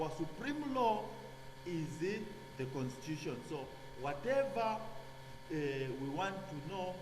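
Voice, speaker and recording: A man giving a classroom lecture, halting with many fillers and restarts, checking in with "okay?". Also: on the high side. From Zambia. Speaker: nursing lecturer. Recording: echoey hall with hum.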